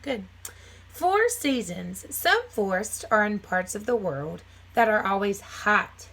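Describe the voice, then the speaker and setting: A woman with a bright, crisp-edged voice at a medium pitch reads aloud like a primary school teacher. She phrases things simply for young children and slips between book sentences and casual explanation. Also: very animated, takes it slowly, rough recording. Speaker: teacher reading aloud to a class. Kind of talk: children's nonfiction read-aloud with casual asides.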